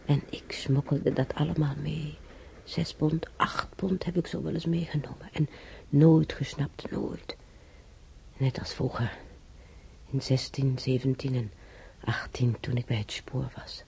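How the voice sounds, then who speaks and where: An older woman acting in a radio drama as a frail, bedridden, wistful but plucky old woman, musing haltingly to herself in a husky, breathy voice. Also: a bass voice, fairly even intonation, dark tone, whispered, heavily acted. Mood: fond, wistful.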